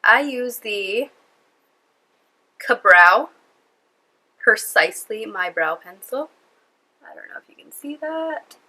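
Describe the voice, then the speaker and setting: A young woman talks to the camera at home, her voice nasal and stuffed up from a cold. Her tone is bright and forward. She rambles casually with plenty of fillers. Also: fairly high pitch, animated, slow pace, pauses often, a little hiss or hum, poor audio. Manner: chatty, rambling, lots of fillers. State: congested from a cold. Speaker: beauty vlogger. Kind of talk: casual chatty vlog. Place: at home indoors.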